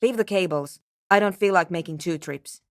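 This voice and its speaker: An actress playing a cool, scientifically curious young woman programmer in a video game, speaking in a measured, technical, dryly formal way. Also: fairly low pitch, background noise, lightly acted. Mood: mildly disappointed, brisk. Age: young adult. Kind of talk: video game character voice acting.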